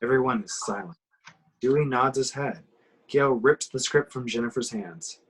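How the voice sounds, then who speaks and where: A man narrates screenplay action in a home horror table read. His voice is husky and mid-pitched, and he reads the scripted directions scene by scene. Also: normal speed, fairly bright tone, low-quality recording.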